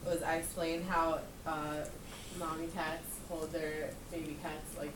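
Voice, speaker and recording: Young woman, lowish voice, casual feedback, distant mic, clear background noise. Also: level tone, a little slow. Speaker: trainee tutor giving peer feedback. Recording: noisy echoey room, distant mic.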